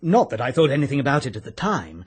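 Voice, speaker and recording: An actor playing a chatty, self-important older chemist with an English accent and a fussy, precise manner in a radio drama. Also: male voice, mid-range voice, sing-song, fast talker, slightly rough. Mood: eager, confiding. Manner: fussy, precise, hesitant openings.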